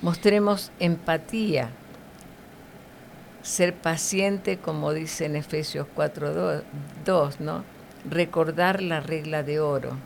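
Low, gravelly-voiced woman teaching the Bible. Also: fairly even intonation, takes it slowly, slight background noise. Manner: earnest, teacherly, frequent "¿no?" tags.

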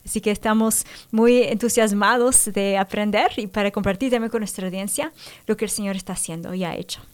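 A woman's voice: mid-range voice, steady tone, brisk, darkish tone. Female radio host, faith testimony interview, encouraging, prompts guest with short affirmations.

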